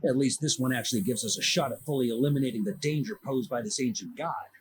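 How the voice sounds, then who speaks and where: An actor playing a bold, seasoned knight leader in a video game, with confident theatrical delivery. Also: male voice, medium pitch, quick pace, a little hiss or hum, natural voice. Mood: reassuring.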